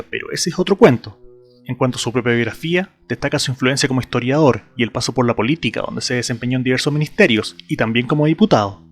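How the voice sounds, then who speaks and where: A man with a Chilean accent, hosting his channel with scripted video essay narration addressed to viewers. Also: medium pitch. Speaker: YouTube channel host.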